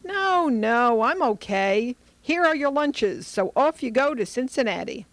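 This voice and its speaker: A woman's voice: on the high side, expressive, a little slow, dry recording. A woman playing a doting, self-sacrificing elderly mother in an old-time radio drama. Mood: fussing, insistent. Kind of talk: Golden Age radio drama acting.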